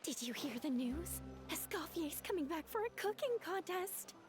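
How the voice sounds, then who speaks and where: Gossipy game townswoman, very high voice, swooping intonation, excitable, music behind. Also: moderate pace. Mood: excited. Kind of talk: video game voice acting. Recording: clear music under the voice.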